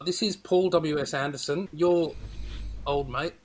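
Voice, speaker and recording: A man's voice: a little high, measured pace, a little hiss or hum. A man in casual film discussion as a podcast panellist, thinking aloud and checking for agreement.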